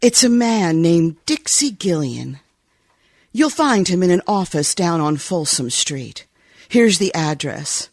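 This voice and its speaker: Actress as a sultry femme fatale, husky voice, radio noir drama, controlled, blunt, dry close recording. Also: female voice, on the low side, big pitch swings, slow pace, a little mellow, no echo, heavily acted. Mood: firm, commanding. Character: cool, sultry, tough femme fatale client. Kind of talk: old-time radio detective acting.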